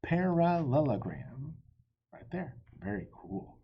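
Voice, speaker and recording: A man reading a storybook aloud for children in an expressive teacherly way. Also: a little high, lo-fi sound, a little hiss or hum. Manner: animated, pauses to quiz listeners.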